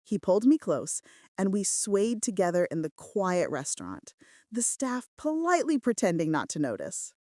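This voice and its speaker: A woman's voice: mid-range voice, sing-song, moderate pace, mellow, no room sound, clean studio sound. A woman reading scripted first-person romance fiction as a story narrator. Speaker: audiobook narrator.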